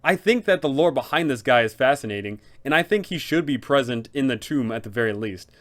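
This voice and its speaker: Man, medium pitch, scripted explainer narration, game master host. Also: animated, fairly quick, a bit bright.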